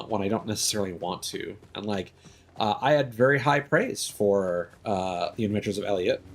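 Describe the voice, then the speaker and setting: A man's voice: fairly low pitch, a little hiss or hum. A young man streaming a game, chatting casually with lots of fillers. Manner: rambling, many fillers, reads item text aloud. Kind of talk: casual gameplay commentary.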